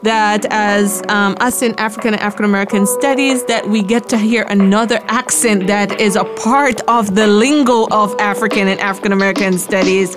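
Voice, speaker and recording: A Jamaican woman hosts an academic podcast, speaking at a mid pitch with brisk, reflective remarks. Faint music sits under her voice. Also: fairly even intonation. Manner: brisk, reflective, quick asides. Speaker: podcast host and professor.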